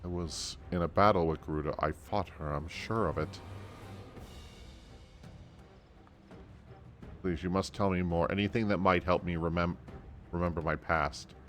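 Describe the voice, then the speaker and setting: A man voicing a brooding, troubled amnesiac warrior in a video game, solemn and halting. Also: low-pitched, steady tone, fairly bright tone, soft background music. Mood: urgent, earnest.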